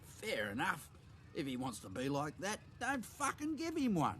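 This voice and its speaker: A man voicing a boisterous, foul-mouthed Australian farmer uncle in an animated comedy. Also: a little high, normal speed, slight background noise. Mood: blunt. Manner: blokey, casual, swearing.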